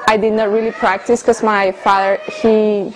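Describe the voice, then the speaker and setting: A woman of about thirty, speaking English in a middle-pitched, everyday voice. She tells her personal story earnestly and unhurriedly, with small hesitations between thoughts. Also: flat delivery, normal speed, heavy background noise. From Colombia. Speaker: interviewee. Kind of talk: personal testimony in conversation.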